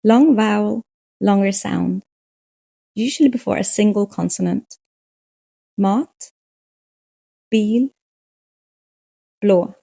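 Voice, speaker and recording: Woman reading a lesson script, slow and dry, a little fry in the voice. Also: medium pitch, mellow, dry recording. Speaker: lesson narrator.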